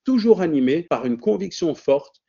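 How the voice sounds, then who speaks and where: A man hosting a webinar, introducing his guest by reading her biography aloud in a steady way. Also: a little high, gravelly, lo-fi sound. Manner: warm, measured, reading a prepared text. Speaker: webinar host.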